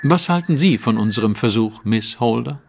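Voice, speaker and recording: An actor playing a courteous, self-assured detective with a formal, probing way of speaking, in a German audio drama. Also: male voice, medium pitch, lively intonation, normal speed, creaky, big-room sound, slight background noise. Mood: confident, testing.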